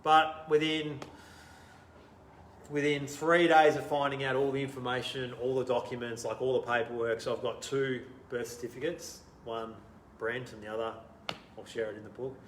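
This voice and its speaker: A man in his thirties with an Australian accent, the guest in a casual interview, telling his story animatedly, laughing often and trailing off quietly. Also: mid-range voice, level tone, normal speed, roomy sound, slight background noise. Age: 30s.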